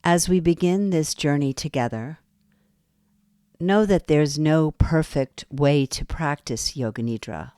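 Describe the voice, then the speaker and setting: A woman guiding a yoga nidra relaxation, speaking softly and soothingly with long pauses between phrases. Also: low-pitched, clean tone, no room sound, clean studio sound. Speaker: meditation guide. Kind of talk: guided yoga nidra meditation.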